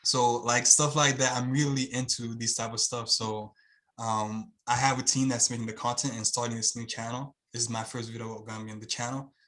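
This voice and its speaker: A man's voice: medium pitch, level tone, fairly quick, a bit bright. A man from Haiti with a Haitian accent, a guest talking on a video call in an earnest, rambling way with lots of fillers. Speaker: guest, channel owner. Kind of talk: casual video-call interview.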